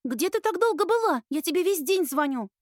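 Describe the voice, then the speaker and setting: A bubbly, stubborn young student acted by a woman narrator chatters excitably in a very high, girlish voice, pushed well up for an audiobook character. The recording is dry and close. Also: fairly quick, no echo. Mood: eager, worried.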